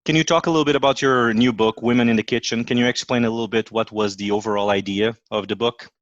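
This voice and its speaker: A man with a Portuguese accent hosts a podcast, reading an upbeat introduction and then chatting with his guest. His voice sits at an average pitch. The sound is dry and close. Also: steady tone, fast talker, no echo, muffled recording. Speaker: podcast host and chef. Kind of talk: podcast interview.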